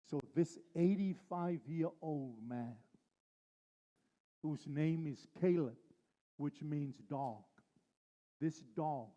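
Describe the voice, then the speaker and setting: A male pastor preaching a sermon, driving his points home with slow, repeated phrases. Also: a little high, warm tone, lo-fi sound.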